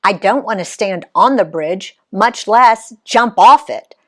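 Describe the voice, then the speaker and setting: Storybook mother in her forties, scared of heights and whining, played by a woman narrator; slow, reluctant delivery. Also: mid-range voice, expressive, a bit bright. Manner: hesitant and whiny. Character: nervous mother in her forties balking at a bungee jump.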